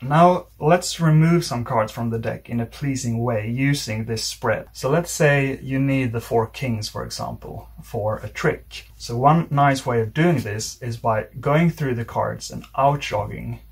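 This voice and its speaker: A man with a slightly husky voice, giving a measured, step-by-step tutorial as an instructor demonstrating while he talks. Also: medium pitch. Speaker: card magic instructor.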